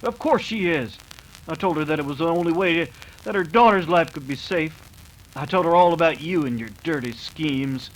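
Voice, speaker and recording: A man's voice: on the high side, normal speed, natural voice, poor audio. A man playing an earnest, defiant young American cowboy in an old-time radio western. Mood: urgent, earnest.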